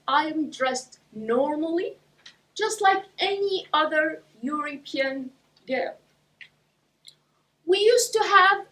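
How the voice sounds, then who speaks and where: A woman from Iraq giving a prepared speech to a club audience, speaking slowly and deliberately with pauses between phrases. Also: high-pitched, a bit bright. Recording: voice from a distance.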